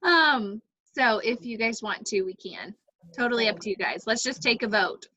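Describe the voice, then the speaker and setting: A woman's voice: a little high, expressive, moderate pace, sharp tone. A woman coordinating a group in casual video-call chat, tentative and accommodating, with muffled call audio. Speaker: event coordinator.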